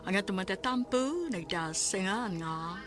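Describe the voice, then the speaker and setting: A woman's voice: medium pitch, lively intonation, unhurried. A woman announcing and narrating a radio drama in a dramatic scripted style. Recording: loud music under the voice.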